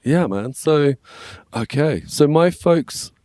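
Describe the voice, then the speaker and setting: An English man in his forties with a slightly scratchy voice, chatting casually as a podcast guest and telling stories in a relaxed way. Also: fairly high pitch, slow, no room sound, studio quality. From England. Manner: relaxed, storytelling, frequent "yeah, man". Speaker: guest, worship label manager. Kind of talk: casual conversation.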